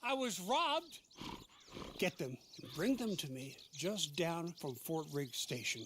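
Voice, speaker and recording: A man's voice: a little high, very animated, slow pace, background noise. An actor playing an indignant, imperious wealthy man demanding his due in a western game cutscene. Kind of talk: video game cutscene acting.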